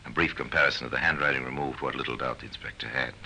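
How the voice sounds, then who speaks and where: An actor playing a sardonic, portentous male narrator of an old-time radio crime drama, measured and wry. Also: fairly even intonation, bright, forceful tone, exaggerated voice. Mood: wry, ominous. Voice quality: very deep, gravelly. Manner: measured, wry, ominous storytelling. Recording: noisy vintage radio recording.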